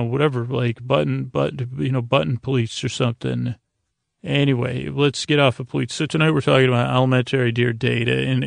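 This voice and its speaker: A man with a creaky voice hosting a sleep podcast, talking in a meandering, halting, gently goofy and lighthearted way. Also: mid-range voice, no echo. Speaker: podcast host. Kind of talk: rambling, informal sleep podcast monologue.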